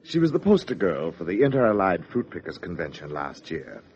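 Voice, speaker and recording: An actor playing a pompous, sardonic party host in a 1950s radio drama, wordy and theatrical. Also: male voice, low-pitched, sing-song, fairly quick, a little mellow, a little hiss or hum. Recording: dull old radio recording.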